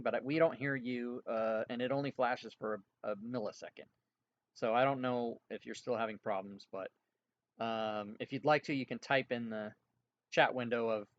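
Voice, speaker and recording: A man's voice: medium pitch, highs cut off. Easygoing man running a tabletop game. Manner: chatty, easygoing, laughs often. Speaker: game master.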